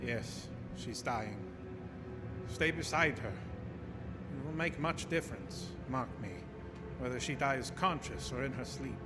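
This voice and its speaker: An actor playing an ominous, solemn professor with portentous, emphatic phrasing in a live radio horror drama. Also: male voice, mid-range voice, takes it slowly, over loud music, natural voice. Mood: resigned. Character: ominous, solemn professor, male vampire expert. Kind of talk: old-time radio horror drama acting. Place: live broadcast from a theater. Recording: reverberant theater stage, clear background noise.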